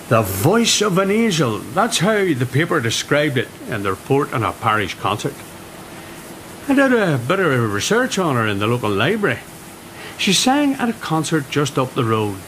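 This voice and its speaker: A man's voice: mid-range voice, wildly swooping pitch, moderate pace, a bit bright, a little hiss or hum, poor audio. An actor in a radio drama playing a chatty mill foreman who tells ghost stories. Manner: chatty, storytelling. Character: middle-aged foreman, friendly storyteller. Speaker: Mister Jennings, mill foreman.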